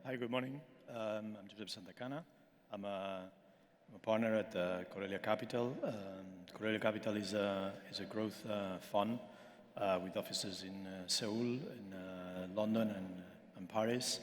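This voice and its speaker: A man introducing himself as a fund partner on a panel, halting with many fillers. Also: lowish voice, steady tone. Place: large conference hall stage. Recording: long hall reverb.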